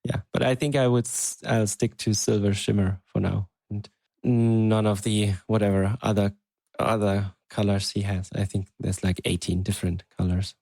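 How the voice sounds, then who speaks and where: A man co-hosting a podcast, chatting casually and thinking aloud in an unhurried, hesitant way. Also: lowish voice, little pitch movement, gravelly, a little mellow, dry recording, poor audio. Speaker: podcast co-host. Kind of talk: casual chat.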